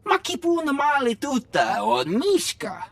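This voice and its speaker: Gravelly alien gang thug. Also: male voice, very high voice, very animated, measured pace, fairly bright tone, dry recording, full character voice. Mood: menacing. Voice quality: gravelly, husky, guttural. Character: menacing male alien gang thug, speaking alien tongue. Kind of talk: video game character acting.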